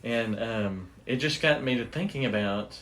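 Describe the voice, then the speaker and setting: A man's voice: mid-range voice, moderate pace, a bit bright, a little hiss or hum. A middle-aged American man chatting casually as a podcast co-host. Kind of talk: casual chat.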